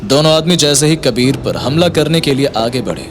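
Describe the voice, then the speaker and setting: A man's voice: medium pitch, lively intonation, fairly quick. A man narrating a Hindi audio story in a dramatic scripted style. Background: music.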